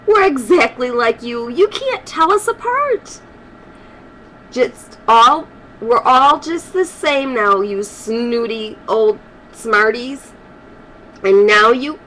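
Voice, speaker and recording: Woman voicing taunting storybook creatures, lively and high. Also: slow pace, slight background noise, low-quality recording. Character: boastful plain-bellied Sneetches, storybook creatures, taunting and snooty.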